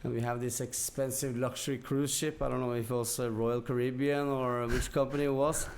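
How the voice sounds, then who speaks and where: Podcast guest: Norwegian-accented man, medium pitch, casual storytelling, halting as he searches for words. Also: little pitch movement, darkish tone, slight background noise. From Norway. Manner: hesitant, searching for words in non-native English. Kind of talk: casual anecdote telling.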